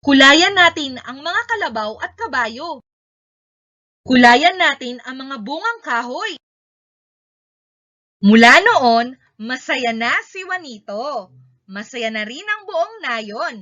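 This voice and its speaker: A woman's voice: high-pitched, animated, takes it slowly, pauses often, bright, forceful tone. A woman with a Filipino accent reading a children's story aloud expressively, in short, emphatic sentences. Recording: dull, lo-fi recording.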